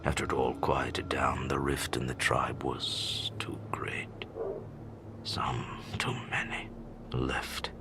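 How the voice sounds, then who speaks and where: Very deep, gravelly whispering old man. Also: completely flat delivery, full character voice. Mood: weary sorrow. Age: older. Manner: slow, somber storytelling. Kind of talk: video game cutscene monologue.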